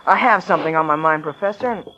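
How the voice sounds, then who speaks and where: A man's voice: on the high side, fast, bright, forceful tone. An actor playing a naive, earnest young small-town man in a 1940s radio drama, with a hesitant, folksy, boyish manner. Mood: troubled, earnest. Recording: muffled vintage 1940s radio.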